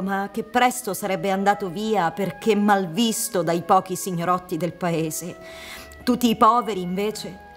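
A woman in her thirties giving scripted literary narration for a radio drama. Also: mid-range voice. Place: theatre stage set up for radio recording. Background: music.

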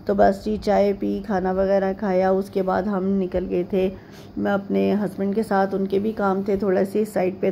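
A middle-aged woman from Pakistan narrating a home vlog in a rambling, chatty way, with frequent pauses and fillers. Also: mid-range voice, vocal fry, dark tone, highs cut off, a little hiss or hum. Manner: homely, chatty tone. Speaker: vlogger. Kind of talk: casual chatty voice-over narration.

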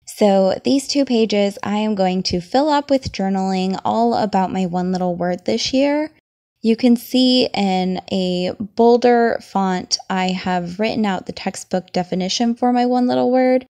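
Slightly raspy young woman narrating crafts. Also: medium pitch, a little slow, warm tone. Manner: chatty, enthusiastic, explains each step. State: losing her voice, slightly raspy. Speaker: journaling vlogger. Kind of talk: voice-over craft tutorial.

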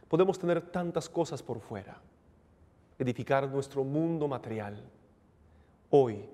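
A man in his forties delivers a homily from a chapel lectern, steady and thoughtful, with frequent small pauses. His voice sits at an ordinary middle pitch. The lectern microphone catches the long reverb of the church around him. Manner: calm, reflective preaching with frequent "eh" pauses. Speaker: priest. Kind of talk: homily at Mass.

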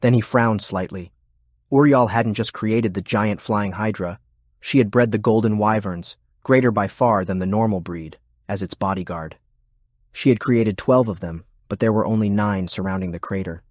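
Guy reading a dramatic audiobook, lowish voice, really dry sound no room. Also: moderate pace, darkish tone, no echo. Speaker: audiobook narrator. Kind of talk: scripted dramatic narration.

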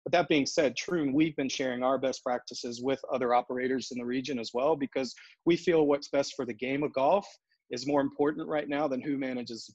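A man in his forties, a golf operations executive, answering interview questions over a video call in a steady, businesslike way with frequent fillers and restarts. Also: medium pitch, no echo. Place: home office in front of a brick wall. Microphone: earbuds on a video call. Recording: dull, dry video call audio.

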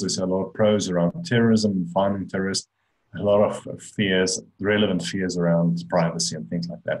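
A man's voice: low voice, a little mellow, rough recording. A middle-aged man with a South African accent, giving an online talk with slides and speaking conversationally, with many restarts and fillers. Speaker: conference speaker on AI. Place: indoor room, on a webcam.

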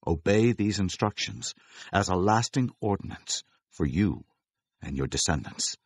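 Gravelly, stern Moses. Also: male voice, low-pitched, animated, unhurried, no echo, full character voice. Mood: urgent, solemn. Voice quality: gravelly, husky. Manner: urgent, declaiming instructions. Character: Moses, stern male prophet and leader of his people. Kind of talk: dramatized scripture acting.

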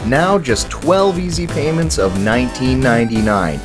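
Guy doing a pushy tv infomercial salesman, loud and excited, pitched way up, music behind. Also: moderate pace, natural voice. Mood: excited hard sell. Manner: loud, hard-selling.

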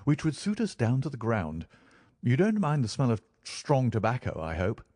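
A man voicing an eager, energetic eccentric amateur detective with brisk, cheerfully candid speech, as in an audiobook. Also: medium pitch, no room sound, studio quality, voice pushed up. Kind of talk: audiobook character dialogue.

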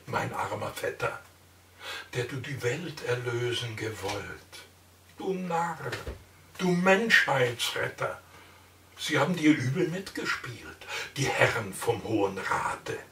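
An older man reading a long poem aloud in a theatrical, expressive way, indoors in front of the camera. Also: mid-range voice, slow pace, gravelly, roomy sound, recorded from a distance, slight background noise. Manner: expressive, theatrical, varies loud and soft. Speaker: reader of a poem. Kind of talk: slow dramatic poetry reading. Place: indoor room, plain wall.

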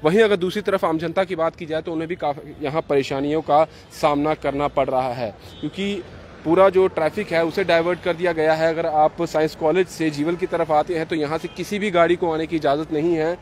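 A man reports live from the roadside into a handheld mic. His voice sits a little high, and he talks in a wordy, repetitive, unscripted flow. Faint street and vehicle noise runs behind him. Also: fairly even intonation, a little fast, a little mellow. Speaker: field news reporter. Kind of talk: live on-the-spot reporting, unscripted piece to camera. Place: outdoors on a roadside by a gate and flyover. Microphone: handheld reporter's microphone. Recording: street traffic noise outdoors. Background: street ambience and vehicles.

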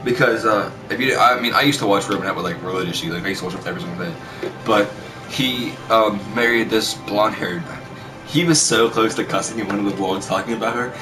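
A man's voice: on the low side, fast, over music, rough recording. A young man bantering casually and crudely with a friend in a small bedroom, recorded by a camera a short way off. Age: young adult. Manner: crude, profane, teasing. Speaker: vlogger. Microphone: webcam or console camera a short way off.

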